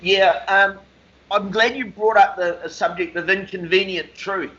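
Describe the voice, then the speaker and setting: High, bright-voiced man on lo-fi audio. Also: fairly even intonation, unhurried. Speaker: radio show co-host, interviewer. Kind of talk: conversational radio discussion. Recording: slightly dull lo-fi call audio.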